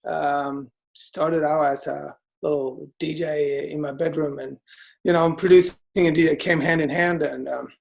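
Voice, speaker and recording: A man's voice: a little high, measured pace, creaky. A man in his thirties from Sweden with a Swedish accent, chatting casually as a guest and talking through lots of fillers and restarts. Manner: relaxed, many fillers and restarts. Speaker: guest DJ and producer. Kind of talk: casual interview chat.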